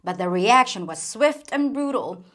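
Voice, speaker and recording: A woman from the Philippines hosts her commentary channel in a fairly high voice. She reads a scripted response with a wry, playfully sarcastic edge. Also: expressive, unhurried, fairly bright tone.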